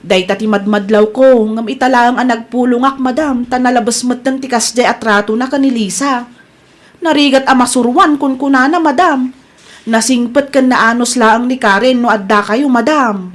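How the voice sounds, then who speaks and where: A woman's voice: on the high side, normal speed, natural voice. A Filipino woman playing an indignant, earnest employee who complains on behalf of a co-worker in an audio drama. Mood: earnest, deferential. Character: female employee, indignant tattling sympathetic co-worker. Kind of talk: acted audio comedy-drama dialogue.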